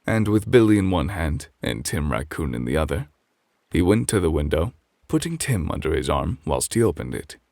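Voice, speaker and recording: A man playing a calm, refined gentleman who reads a bedtime story as gentle, measured ASMR close to the microphone. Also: rumbling low, gravelly, no echo, full character voice. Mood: soothing.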